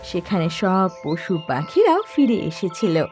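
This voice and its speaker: Lively female storybook narrator over music. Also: mid-range voice, a little slow. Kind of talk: scripted children's fable narration.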